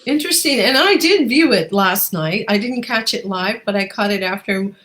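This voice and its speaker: A woman's voice: mid-range voice, animated, normal speed, fairly bright tone. A woman hosting a casual interview in an encouraging, affirming manner. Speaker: interviewer and host.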